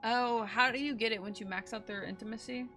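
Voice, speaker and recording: A woman streamer giving casual, slangy, excitable commentary on a game playthrough, swearing freely. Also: a little high, fairly quick, faint music behind.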